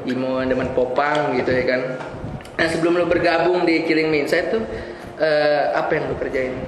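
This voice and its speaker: A man's voice: on the high side, fairly even intonation, normal speed. A man with a Jakarta Indonesian accent hosting a casual, joking interview in a teasing way. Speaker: interview host. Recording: very echoey reverberant room, background noise.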